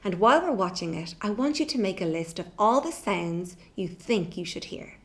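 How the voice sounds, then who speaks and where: A woman's voice: mid-range voice, lively intonation, normal speed. A middle-aged woman leading an instructional drama lesson for children, giving encouraging step-by-step directions. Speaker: workshop presenter for children. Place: hallway-like room in a house, indoors. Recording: roomy, echoey indoor sound.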